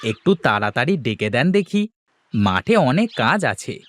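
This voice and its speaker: Man playing an earnest, animated village farmer. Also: mid-range voice, unhurried, no echo, studio quality. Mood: brisk. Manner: earnest, expressive cartoon dialogue. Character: patient, generous village farmer, adult man.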